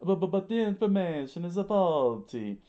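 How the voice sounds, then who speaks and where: A man acts out a panicky male villain's underling in a story. The voice is pushed high and loud, excitable and pleading, full of exclamations. The recording is slightly dull. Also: normal speed, lightly acted. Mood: panicked.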